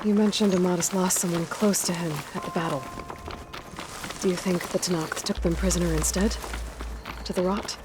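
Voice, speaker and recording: An actress playing a determined young female hunter in a video game, calm and matter-of-fact. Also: fairly low pitch, normal speed, lightly acted. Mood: focused. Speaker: game protagonist. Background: game music.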